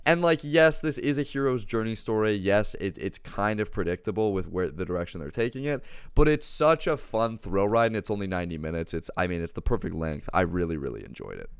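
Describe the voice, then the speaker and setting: A man's voice: lowish voice, big pitch swings, brisk, vocal fry, hardly any pauses, clear, resonant tone, no room sound, clean studio sound. A man co-hosting a casual, enthusiastic review, speaking effusively with slangy wording and emphatic repetition. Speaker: co-host giving a movie review.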